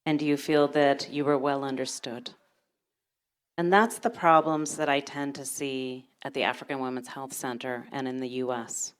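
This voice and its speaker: A woman from Sudan speaking on a conference panel with a notably low voice. She works as a gynecologist and talks in a measured, steady way. She keeps turning to the audience with a string of questions. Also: clean tone. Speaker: panelist, OB-GYN. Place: lecture hall.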